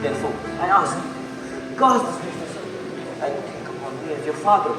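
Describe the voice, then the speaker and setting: A young man playing a formal king and stepfather in school stage Shakespeare, declaiming in measured phrases. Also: on the high side, recorded from a distance, over music, lightly acted. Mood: stern, persuading. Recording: roomy, noisy stage recording from afar.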